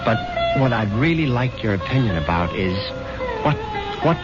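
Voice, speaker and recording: An anxious widowed husband in an old radio play, his voice on the low side. He stammers and repeats himself as he tells of his dread. The recording is muffled, with loud music. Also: sing-song. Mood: nervous. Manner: halting, stammering, repeats words. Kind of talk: 1970s radio drama acting, first-person narration.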